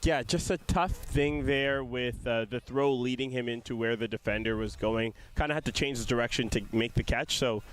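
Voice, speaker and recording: A man's voice: medium pitch, moderate pace, hardly any pauses, slight background noise. A young man provides live sports commentary. He has a mid-range voice and talks casually, explaining plays and chatting with his booth partner.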